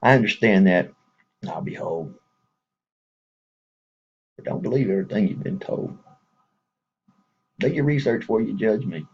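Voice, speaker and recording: A man with a thick Texas drawl talks to his viewers in a loose, folksy ramble, often breaking into a chuckle. His voice sits very low and gravelly, with a strongly husky, rough edge. The recording is muffled and lo-fi. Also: animated, a little slow, long pauses, a little mellow. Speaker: man speaking to supporters. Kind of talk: casual appeal to viewers.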